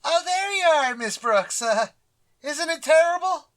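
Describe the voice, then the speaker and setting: An awkward teenage boy in a vintage radio comedy. The voice is very high, hesitant and full of fretting. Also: lively intonation, unhurried. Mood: fretful. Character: fretful, awkward teenage schoolboy Walter. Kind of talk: 1940s radio sitcom acting.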